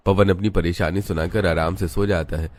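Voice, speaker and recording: A man's voice: sits low, steady tone, moderate pace, darkish tone. A man reading scripted narration for a family cartoon story. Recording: music bed behind the voice.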